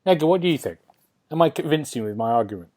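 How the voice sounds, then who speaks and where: A man's voice: on the high side, expressive, very fast, darkish tone. A man hosting a casual gaming podcast, rambling and thinking aloud, often seeking agreement. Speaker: podcast host.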